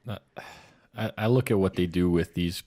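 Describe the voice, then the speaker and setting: Man, low-pitched voice, podcast co-host, casual chat, halting delivery. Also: warm tone. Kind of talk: casual film and gaming chat.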